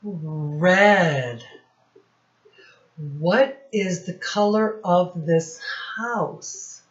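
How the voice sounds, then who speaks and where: A woman teacher speaking to young children in a singsong instructional style, with simple phrases and deliberate repetition. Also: on the low side, reverberant. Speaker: children's teacher and read-aloud host. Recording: dull, lo-fi sound.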